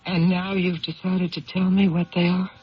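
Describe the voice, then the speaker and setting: An actress playing a steady, concerned confidante with a gently probing manner in a 1970s radio drama. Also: female voice, lowish voice, moderate pace, husky. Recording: very muffled, tinny old radio broadcast.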